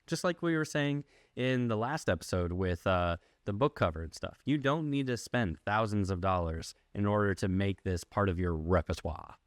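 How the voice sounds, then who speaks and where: A man with a low, deep voice hosts a podcast. He speaks conversationally, like a casual interviewer, in a very dry recording with no room sound. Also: expressive, normal speed, hardly any pauses, no room sound. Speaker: podcast host.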